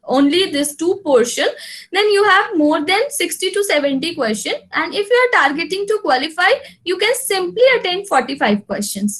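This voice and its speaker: High-voiced Indian woman teacher, reassuring. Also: a bit bright. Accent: Indian English. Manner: reassuring, repetitive, switches between English and Hindi. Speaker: exam prep teacher. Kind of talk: live online class, answering student questions. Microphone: video call.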